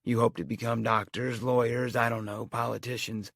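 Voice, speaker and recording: A man's voice: medium pitch, little pitch movement, moderate pace, vocal fry, a bit bright, no room sound, studio quality. A man voicing a very old male amusement park owner giving a solemn, sweeping speech. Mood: grand, earnest.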